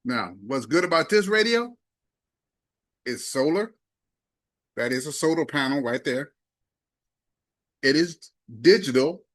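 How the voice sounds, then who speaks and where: A man's voice: a little high, lively intonation, a little slow, pauses often, fairly bright tone, no echo, clean studio sound. A man reviewing a gadget casually and hands-on, talking himself through each step in a folksy, emphatic way. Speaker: product reviewer.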